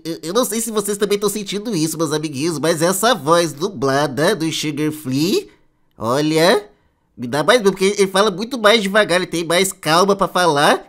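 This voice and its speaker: A man's voice: sits high, animated, brisk, fairly bright tone. A man with a Brazilian accent voicing a chatty, playful cartoon sponge who hosts reaction videos with exaggerated commentary. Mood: excited, playful. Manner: playful, exaggerated, addresses viewers as little friends. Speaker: host of a reaction channel. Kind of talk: casual reaction commentary for kids.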